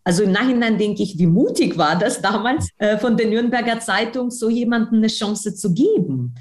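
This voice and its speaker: A woman's voice: a little high, moderate pace, darkish tone. A woman speaking German as a second language with a foreign accent, giving a personal account in an interview and repeating words for emphasis. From outside Germany. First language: not German. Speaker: interview guest, newspaper editor and trainer of trainees.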